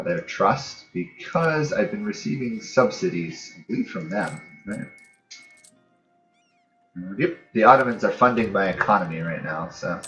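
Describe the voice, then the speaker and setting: A man with a low voice gives casual gaming commentary, musing aloud as he goes. The recording is dull and roomy, with game music playing behind him. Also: fairly bright tone. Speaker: host of a gaming channel playing a strategy game. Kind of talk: casual let's-play commentary.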